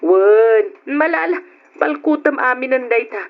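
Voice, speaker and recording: A woman playing a kindly, brisk older auntie in an old radio drama, with an Ilocano accent. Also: high-pitched, expressive. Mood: kindly, insistent. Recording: dull noisy old radio recording.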